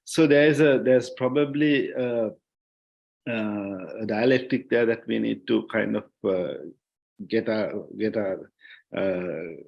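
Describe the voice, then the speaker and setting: Reflective, halting Sri Lankan man. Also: lowish voice, creaky, lo-fi sound. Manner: reflective, halting, searching for words with repeated phrases. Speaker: panelist, journalist and writer. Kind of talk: thoughtful discussion in an online panel. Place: online discussion call.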